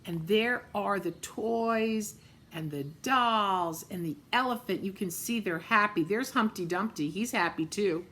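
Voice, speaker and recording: Slow, gentle woman reading a picture book. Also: mid-range voice, slight background noise. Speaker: storybook reader.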